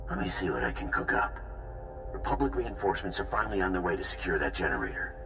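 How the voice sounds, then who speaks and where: An actor playing an eager, can-do soldier tech sidekick in a video game, casual in manner. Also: male voice, low voice, sing-song, fast. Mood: focused, helpful. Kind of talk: scripted video game acting. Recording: music behind the voice.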